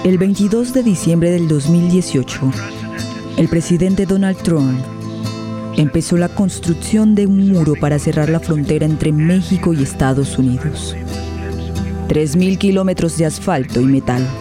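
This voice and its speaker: Grave radio drama narrator over music. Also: female voice, sits low, measured pace. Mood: solemn.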